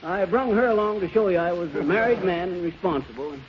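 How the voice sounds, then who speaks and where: A man's voice: high-pitched, quick pace, close to an everyday voice. An actor playing a cocky, bumbling married man with folksy, ungrammatical talk in a 1930s radio comedy. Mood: chummy, folksy. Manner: ungrammatical folksy talk, stammering bluster, chuckling. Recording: noisy muffled 1930s radio recording.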